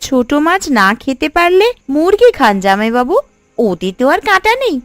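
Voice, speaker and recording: A woman acting the younger married daughter of a cartoon family, teasing and quick to needle. Her voice is high and very animated, with music behind it. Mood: sarcastic. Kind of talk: Bengali cartoon story acting.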